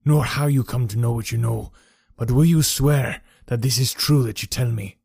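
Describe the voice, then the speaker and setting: A hunched old soldier pours out a bitter, gruff confession, as a male audiobook reader puts him on. The voice sits in a middling pitch. The recording is close and dry. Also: moderate pace, a little mellow, no echo. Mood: agitated. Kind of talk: audiobook reading.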